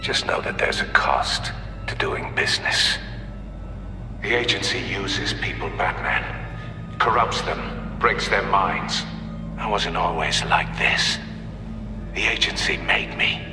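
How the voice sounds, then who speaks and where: An actor playing a sadistic, taunting supervillain in a video game, theatrical, gloating and menacing. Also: male voice, low-pitched, slow pace, pauses often, in a large hall, over music. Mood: bitter, menacing. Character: sadistic, taunting male supervillain who loves riddles. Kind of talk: video game cutscene acting.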